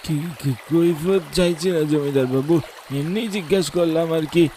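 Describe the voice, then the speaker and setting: A man acts out a poor, timid village boatman in a horror cartoon. His voice is high and anxious, deferential and prone to stammering. There is faint noise of water behind him. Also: normal speed, dark tone, lightly acted. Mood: nervous, deferential. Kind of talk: Bengali horror cartoon voice acting.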